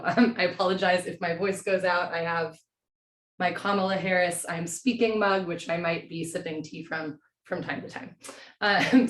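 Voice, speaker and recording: Casting: hoarse female yoga teacher, low voice, gentle and slow cues, roomy echo. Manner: slow, gentle, soothing instruction. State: hoarse from a cold. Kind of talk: guided yin yoga class. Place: home.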